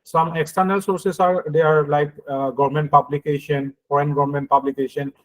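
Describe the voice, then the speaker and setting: A man with an Indian accent giving an explanatory lecture in a halting, hesitant way, often checking that listeners follow. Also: on the high side, flat delivery, rough recording. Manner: halting and hesitant, frequently checks understanding with "okay" and "right". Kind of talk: explanatory lecture with everyday examples.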